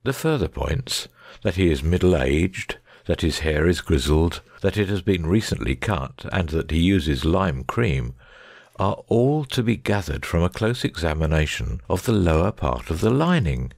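A man playing a confident, analytical gentleman detective in a dramatic audiobook, precise and didactic. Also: deep voice, animated, a little slow, creaky, no room sound, clean studio sound, full character voice. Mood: explanatory.

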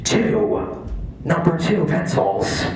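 Ominous movie trailer announcer, man putting it on, slow dramatic delivery, echoey comedy club, crowd laughing. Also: on the high side, very animated, distant mic, heavily acted. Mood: portentous. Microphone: handheld mic.

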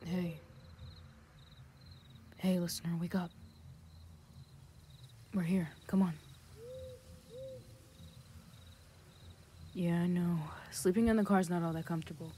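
A woman voicing a sarcastic teenage boy in a fan anime audio drama, deadpan and bossy as he drives. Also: lowish voice, measured pace, long pauses, slight background noise, close to an everyday voice. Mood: soft, coaxing. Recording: music playing under the voice.

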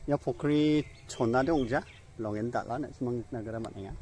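A man's voice: medium pitch, a little fast, dark tone, husky. A young man hosting a casual vlog by a rural pond, chatting and explaining directions into a phone held at arm's length. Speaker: vlogger host. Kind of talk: casual outdoor vlog talk. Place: outdoors by a rural fish pond.

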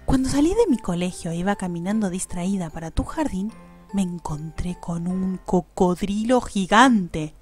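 A woman with an Argentine accent playing a playful, dramatic schoolteacher mother in an animated, emphatic manner. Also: medium pitch, normal speed, husky, faint music behind. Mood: excited.

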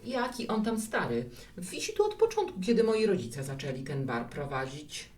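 Actress as a hospitable barkeeper, lively low-pitched voice. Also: female voice, moderate pace. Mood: musing. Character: hospitable, reflective woman who runs a bar. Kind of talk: Polish radio play dialogue. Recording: roomy, distant mic with music.

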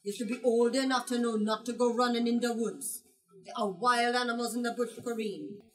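A woman's voice: a little high, a little fast, close to an everyday voice. A woman voicing a firm, caring island father in a storybook, with emphatic parental delivery. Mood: stern, caring. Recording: distant from the microphone.

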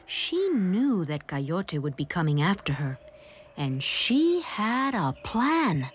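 A woman narrating a children's storybook in an expressive, deliberate way. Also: on the low side, slight background noise. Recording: music behind the voice.

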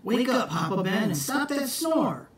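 A man playing a high-pitched, emphatic country boy. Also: sing-song, a little slow. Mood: bossy, insistent. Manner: loud, emphatic calling out. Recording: echoey room, distant phone mic.

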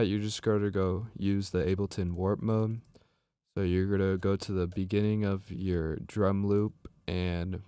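A man narrating a software tutorial as a music production instructor, explaining step by step in an informal way. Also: sits low, clear, resonant tone, no room sound, studio quality.

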